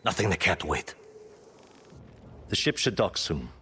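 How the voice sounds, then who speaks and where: An actor playing a brash, wry seafaring warrior captain who talks bluntly and teasingly in a video game drama. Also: male voice, sits low, big pitch swings, a little slow, bright, forceful tone, a little hiss or hum, exaggerated voice. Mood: gruff, confident.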